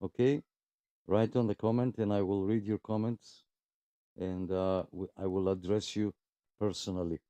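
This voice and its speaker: A man hosts a casual radio club livestream in a low, mellow voice. He takes his time, pausing often, and the sound is close and dry. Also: steady tone, no echo, dull audio. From abroad, moved to Canada in 2001. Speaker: host of a radio club live net.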